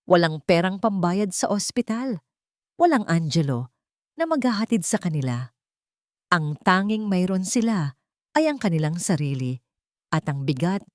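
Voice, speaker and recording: A woman's voice: fairly low pitch, a little mellow, dry recording, clean studio sound. A woman reading a dramatic romance story as a scripted narration.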